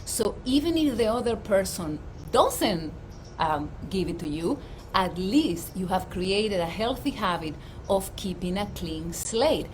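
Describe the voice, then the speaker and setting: A woman's voice: medium pitch, lively intonation, a little slow, a little hiss or hum. A middle-aged woman with a Dominican accent, a life coach giving an earnest, emphatic livestream talk outdoors. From Dominican Republic. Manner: warm, earnest, emphatic presenter. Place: outdoors at her home.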